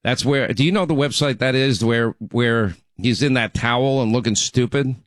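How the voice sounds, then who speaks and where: Chatty middle-aged radio host. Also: male voice, mid-range voice, normal speed, no echo. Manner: chatty, rambling, frequent restarts. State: recovering from laryngitis. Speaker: radio talk show host. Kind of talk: casual talk radio monologue.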